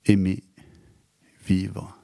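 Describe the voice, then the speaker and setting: A man with a southwestern French accent, a radio guest who speaks hesitantly in conversation and recites his own poem in a measured rhythm. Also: low-pitched, completely flat delivery, creaky, mellow, no echo. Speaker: guest author.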